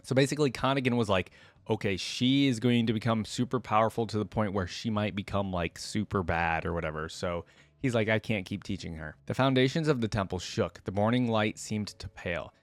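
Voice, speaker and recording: Male reaction host, medium pitch, casual and conversational between commentary and read-aloud, close dry sound. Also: no echo. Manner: informal, conversational.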